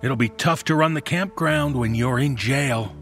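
Horror podcast role: actor as a fed-up local lawman, medium-pitched male voice, confrontational and plain-spoken, stern small-town authority. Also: measured pace, a bit bright, soft background music, natural voice. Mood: threatening. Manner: blunt, folksy, accusing. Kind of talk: horror audio drama dialogue.

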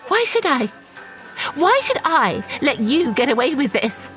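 A wary, indignant woman who manages a shop, in an audio drama. Her voice is fairly high and very animated. She sounds earnest and uneasy as she justifies herself. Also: unhurried, faint music behind, exaggerated voice. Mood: angry, accusing. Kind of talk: naturalistic audio drama acting.

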